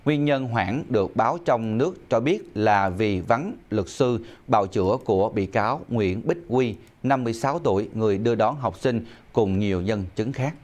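Lowish-voiced male news anchor. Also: animated, normal speed, hardly any pauses, a little mellow. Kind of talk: formal scripted news reading. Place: TV news studio.

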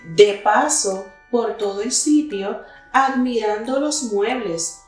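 A young adult woman reading a picture book aloud to children in an expressive, deliberate storytelling style. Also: fairly high pitch. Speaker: children's story reader, teacher. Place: indoor room with wooden cabinet and plants. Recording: very echoey room, distant mic, faint music.

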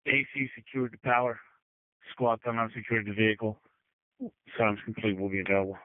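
Man, emergency responder, fast terse radio talk, narrow tinny transmission. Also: lowish voice, dry recording. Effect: two-way radio. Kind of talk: terse two-way radio traffic.

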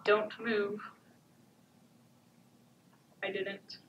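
A teenage female student playing a haunted, stoic abused wife, speaking in stark, deliberate phrases in a stage monologue. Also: medium pitch, level tone, muffled recording. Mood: haunted, restrained. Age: teens. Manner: deliberate, incantatory repetition with short stark phrases. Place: theatre stage before an audience. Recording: echoey theatre, distant camera, background noise.

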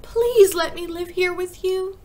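A woman's voice: sits high, a little fast, bright, forceful tone, a little hiss or hum, voice pushed up. A woman voicing a small young girl in a storybook, desperate and eager, with urgent, pleading exclamations.

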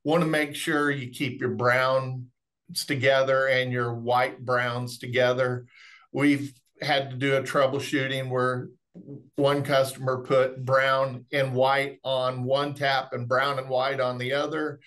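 A man's voice: medium pitch, level tone, slow pace, a bit bright. A middle-aged man presenting webinar technical training in a folksy, explanatory way. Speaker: remote monitoring specialist presenting product training. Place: indoors, on a video call.

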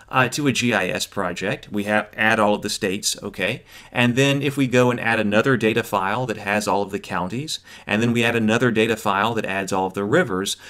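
A man's voice: mid-range voice, a bit bright. A man in his thirties giving an explanatory lecture as an instructor, in a didactic way with check-ins along the way. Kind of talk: explanatory lecture on GIS software.

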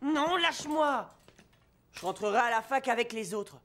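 A man's voice: very high voice, expressive, moderate pace, noisy background. An actor with a French accent playing a meek, nervous young male student in a dubbed comedy film. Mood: defiant. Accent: French (France). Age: young adult.

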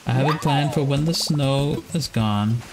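A man in his thirties with a Danish accent, giving relaxed, casual live game commentary and musing aloud as he plays. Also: mid-range voice, measured pace, a little hiss or hum. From Denmark. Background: guitar music.

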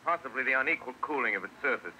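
An actor playing a measured, puzzled professor of astronomy, deliberate and scholarly, in 1930s radio drama style. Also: male voice, mid-range voice, animated, bright, forceful tone. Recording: slightly noisy 1930s radio broadcast.

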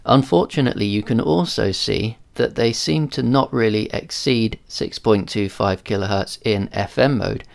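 This is a man with a fairly low voice and plenty of gravel in it. He talks casually, as an amateur radio hobbyist walking through a demo of his gear. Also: normal speed, few pauses. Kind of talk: casual hands-on demo and narration.

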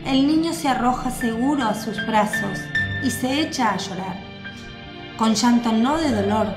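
A woman giving measured, scripted narration for a radio drama. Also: on the high side, lively intonation. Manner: measured, descriptive. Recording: echoey with music bed.

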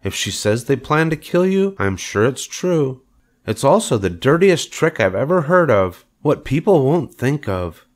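A man playing a clever female spider in a storybook, speaking in a brisk, thoughtful, firm manner. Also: mid-range voice, sing-song, pitched above the performer's own voice. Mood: thoughtful, frank.

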